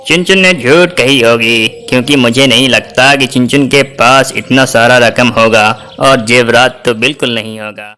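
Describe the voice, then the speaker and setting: A man's voice: medium pitch, fairly even intonation, moderate pace, hardly any pauses, sharp tone, clean tone, lightly acted. A man voicing a sly, defensive female thief bird in a children's cartoon, talking evasively. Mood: dismissive.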